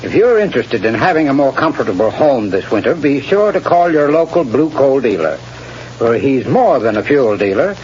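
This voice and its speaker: A man giving a scripted sponsor's message on old-time radio, formal and measured like a salesman. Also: medium pitch, big pitch swings, a little mellow. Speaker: sponsor spokesman, heating expert. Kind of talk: scripted 1930s radio advertisement. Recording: dull vintage radio broadcast, faint hiss.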